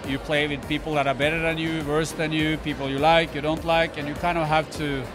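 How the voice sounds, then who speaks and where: Measured male executive, hesitant. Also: a little high, little pitch movement. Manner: measured, modest, with many uh's. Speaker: company executive, red carpet guest. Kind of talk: red carpet interview. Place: indoor event red carpet with music. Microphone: handheld mics held by interviewers. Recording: noisy event with loud music.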